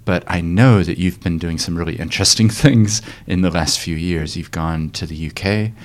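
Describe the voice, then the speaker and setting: A man's voice: low voice, normal speed. A man hosting a podcast, asking friendly, encouraging questions in a casual conversation. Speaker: podcast host.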